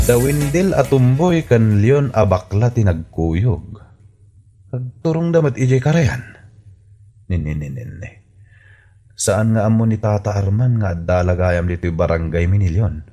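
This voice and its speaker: A man plays a gossiping, inquisitive villager in a radio comedy drama. His voice runs a little low, and he mutters along with a Filipino accent. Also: lively intonation, a little slow, mellow, faint music behind. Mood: nosy musing. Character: nosy, gossiping male villager.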